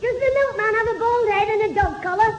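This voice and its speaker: A man playing a cheeky, boisterous young schoolboy with a Northern English accent in old radio sitcom style. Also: very high voice. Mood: sly, teasing. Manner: cheeky, quick-witted, insistent. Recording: muffled, noisy old radio recording.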